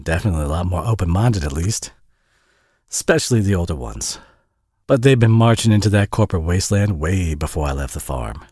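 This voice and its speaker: A man acts out a worn-out Southern US single dad in an intimate audio roleplay. His low voice swings in pitch as he stumbles and rambles, friendly, folksy and quick to put himself down. The sound is dry and close. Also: expressive, darkish tone, slightly rough, dry recording. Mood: rambling, sheepish. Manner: folksy, rambling, stammering and self-deprecating.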